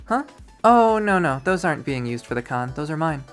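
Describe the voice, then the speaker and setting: A performer voicing a bubbly but touchy young woman in a visual novel, with an animated, emphatic, girlish manner. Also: medium pitch, moderate pace, soft background music, voice pushed up, lightly acted. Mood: defensive, heated.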